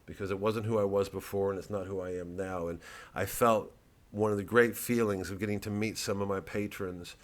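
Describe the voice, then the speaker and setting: A man's voice: fairly low pitch, steady tone, brisk, few pauses. An American man, a comedian, talking casually to his fans in a rambling, digressive monologue with some swearing.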